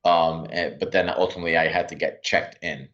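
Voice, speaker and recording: Man, 40s, from Miami, very low voice, earnest, halting, frequent restarts, lo-fi sound. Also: fairly even intonation, fairly bright tone. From Miami, USA. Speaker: podcast guest. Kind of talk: reflective interview talk. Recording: dull lo-fi sound.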